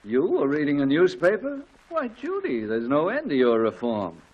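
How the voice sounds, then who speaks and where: A man's voice: on the high side, huge pitch swings, normal speed, muffled recording, background noise. An actor playing a middle-aged family father, dry, blustery and exasperated, in a 1940s radio comedy.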